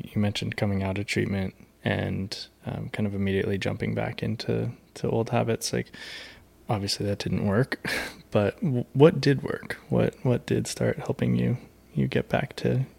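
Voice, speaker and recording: A man's voice: mid-range voice, vocal fry, a little mellow. A man hosting a podcast, talking casually and candidly, with many filler words. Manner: casual, lots of "like", open and candid. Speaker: podcast host. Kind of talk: casual interview.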